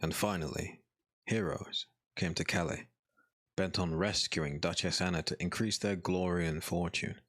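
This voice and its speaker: A man narrating a scripted dark fantasy audiobook in a grave, dramatic storytelling manner. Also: low voice, fairly even intonation, moderate pace.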